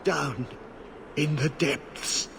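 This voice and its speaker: An actor playing a half-mad, haunted older Grey Warden in a video game, gravelly, muttering in broken, repeated phrases. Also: male voice, fairly high pitch, fairly even intonation, background noise, full character voice. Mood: cryptic, halting. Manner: halting, muttering, fragmented repetitive phrases. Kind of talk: video game dramatic acting.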